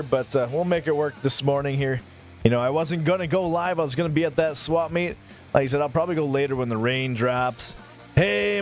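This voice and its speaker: A man in his mid-thirties chatting casually on a live stream, rambling between topics and thanking viewers by name. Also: fairly high pitch. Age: 30s.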